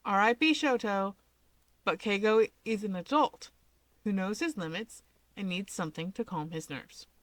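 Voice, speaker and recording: A woman giving an expressive scripted narration of a fiction story. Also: mid-range voice, moderate pace, no echo.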